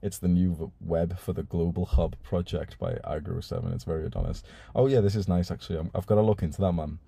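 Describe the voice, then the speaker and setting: A young man hosting a live stream in a casual, laid-back way, reading viewer messages and swearing now and then. His voice is very low and mellow with a husky edge. The sound is dry and close. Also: steady tone, quick pace, no echo. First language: Urdu.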